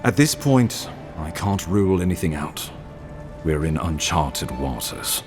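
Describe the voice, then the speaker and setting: Deep, measured imperial ambassador. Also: male voice, expressive, over music. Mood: grave, earnest. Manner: formal, deliberate, diplomatic. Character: courtly male imperial ambassador, measured, grave, authoritative. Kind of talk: scripted video game dialogue.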